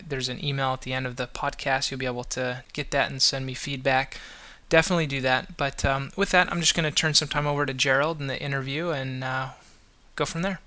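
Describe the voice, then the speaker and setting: A man's voice: medium pitch, bright, forceful tone, clean tone. A man hosting a podcast, talking casually and loosely, with many restarts and fillers. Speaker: podcast host.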